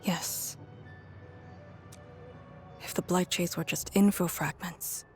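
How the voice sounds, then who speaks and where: A woman's voice: fairly low pitch, steady tone, slow pace, a little mellow, faint music behind. A woman voicing a composed, blunt, brooding woman warrior in a video game, with a dry, understated delivery. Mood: solemn.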